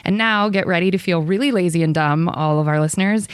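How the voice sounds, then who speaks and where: A woman hosting a podcast in a playful, teasing way, hyping up her guest. Her voice sits in a middle pitch and is recorded close and dry, with no room sound. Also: fast talker, smooth, no echo. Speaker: podcast host. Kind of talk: reading a guest introduction, then casual chat.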